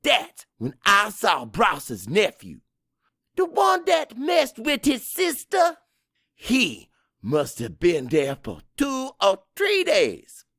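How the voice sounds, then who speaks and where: Audiobook role: combative old Cajun storyteller, pitched high, swooping, folksy and fiery. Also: male voice, wildly swooping pitch, takes it slowly, creaky, no echo, studio quality, exaggerated voice. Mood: insistent storytelling. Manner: loud, emphatic, folksy, mixes French into English.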